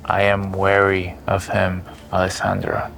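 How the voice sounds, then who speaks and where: Very creaky, kindly male servant. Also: low-pitched, completely flat delivery, unhurried, slight background noise, exaggerated voice. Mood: concerned. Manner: gentle, concerned. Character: kind, cautious male servant, cook turned maid, protective friend. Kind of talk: audio drama character acting.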